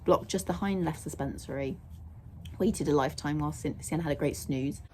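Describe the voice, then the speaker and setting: A young woman with a croaky voice, chatting casually in a rambling way as she vlogs about her horse. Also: deep voice, a little fast, a little mellow, a little hiss or hum. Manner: chatty and rambling, laughs often. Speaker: vlogger, horse owner. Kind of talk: casual vlog chat. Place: outdoors at a stable yard, then in a car.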